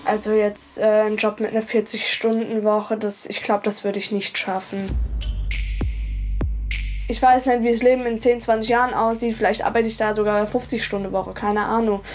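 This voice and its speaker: A woman's voice: mid-range voice, little pitch movement, normal speed, darkish tone, faint music behind. An eighteen-year-old woman, talking casually and a little hesitantly in a documentary interview. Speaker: documentary participant.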